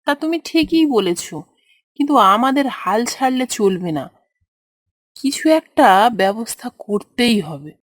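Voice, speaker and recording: A woman playing a supportive farmer's wife in a fairy tale cartoon, measured and encouraging. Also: on the high side, expressive, no room sound, clean studio sound. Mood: determined. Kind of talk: children's cartoon voice acting.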